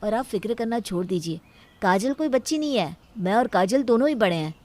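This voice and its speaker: Sensible exasperated husband voiced by a woman, high-pitched. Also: animated, fairly quick, a little mellow, close to an everyday voice. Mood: reassuring. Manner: reasoning, reproachful. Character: adult younger brother-in-law, sensible exasperated husband.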